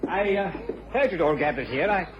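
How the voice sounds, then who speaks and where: A man playing a self-important, agitated committee chairman in a radio drama, halting and pompously insistent. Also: high voice, animated. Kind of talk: BBC radio drama acting. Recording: muffled, slightly noisy old radio recording.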